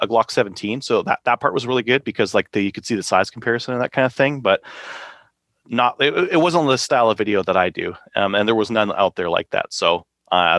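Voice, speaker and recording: A man speaks in a low register with plenty of vocal fry. He talks as a podcast co-host in a casual, slangy, conversational way. The sound is dry, with no room echo. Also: fairly bright tone. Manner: informal, conversational, uses slang. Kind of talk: casual hobbyist chat.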